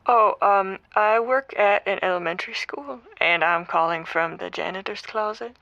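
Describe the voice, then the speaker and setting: Creaky-voiced nervous female caller. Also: mid-range voice, bright, forceful tone, no echo. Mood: nervous, hesitant. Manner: halting, nervous. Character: shy, hesitant married woman calling radio show. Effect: phone-line filter. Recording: dull band-limited phone call.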